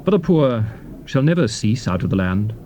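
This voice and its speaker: An actor playing a solemn male lawgiver declaring commandments in a measured, theatrical dramatized King James Bible reading. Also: medium pitch, sing-song, warm tone, lightly acted. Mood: solemn, admonishing. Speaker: scripture reader. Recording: dull, noisy old cassette tape recording.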